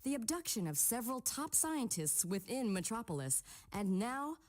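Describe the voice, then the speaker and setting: An actress playing a poised news anchor in a video game, reading a report formally and emphatically. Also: female voice, fairly high pitch, very animated, normal speed. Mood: serious.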